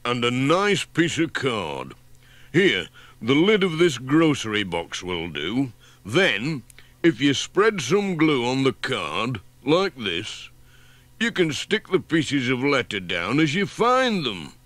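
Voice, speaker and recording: A man's voice: mid-range voice, sing-song, takes it slowly, a bit bright, no room sound, pitched above the performer's own voice. A man voicing a practical, helpful village handyman who explains things in a matter-of-fact way in a children's storybook.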